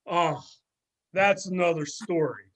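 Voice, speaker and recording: A man's voice: high-pitched, slow pace, a bit bright. A man playing a sardonic, gossipy middle-aged former student in an amateur readers theater drama. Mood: mocking. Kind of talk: amateur readers theater, conversational script reading.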